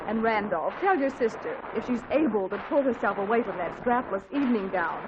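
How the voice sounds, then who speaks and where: A woman's voice: fairly high pitch, expressive, fairly quick, close to an everyday voice. A weary, genteel middle-aged mother played by a woman in a 1940s radio comedy. She speaks politely but tiredly. The sound is a dull old broadcast with hiss.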